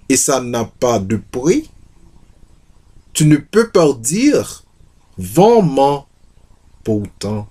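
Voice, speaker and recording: A sincere young country man courting in a dramatized book reading, as a male reader plays him. He is ardent and reflective, speaking slowly with wide melodic swings. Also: a little high, darkish tone, heavily acted.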